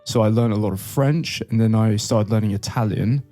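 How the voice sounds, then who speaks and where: Guy on a podcast, dark mellow voice, casual chat, music behind. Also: lowish voice, brisk. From born in Brazil, moved to the UK. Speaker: podcast host.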